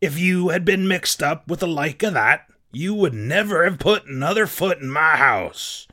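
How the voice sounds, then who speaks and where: Storybook sea-rogue landlord: salty drawl, sharp bright edge, big swoops in pitch. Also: male voice, animated, normal speed. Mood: stern, indignant. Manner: drawling, emphatic, salty sailor speech. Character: big, cheerful, cunning one-legged seafaring tavern landlord.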